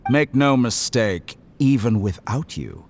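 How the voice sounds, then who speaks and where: An actor playing a cold, scheming male scientist villain who speaks in a smooth, coolly mocking way. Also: mid-range voice, lively intonation, slow, soft background music. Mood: menacing. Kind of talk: game cutscene voice acting.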